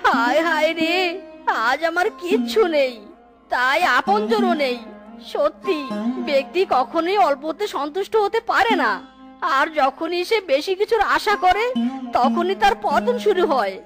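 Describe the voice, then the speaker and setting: Super high hapless fox husband in a cartoon, whiny henpecked type, coaxing n complaining, kids music behind. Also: female voice, lively intonation, measured pace. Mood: sorrowful. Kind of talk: cartoon voice acting in a comic folk tale.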